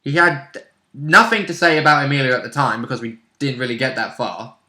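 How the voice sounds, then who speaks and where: A man's voice: medium pitch, brisk, pushed and bright, echoey room. A man chatting casually and bluntly as a player recapping a tabletop role-playing game, in an informal, sweary way.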